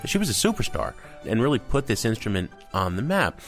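Man, lowish voice, lively intonation, opinionated music critic, conversational, emphatic. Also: fairly quick. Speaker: music show co-host.

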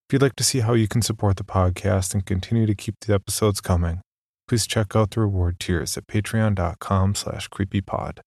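A man's voice: fairly low pitch, a little mellow, no room sound, clean studio sound. A man reading scripted announcements as a podcast host.

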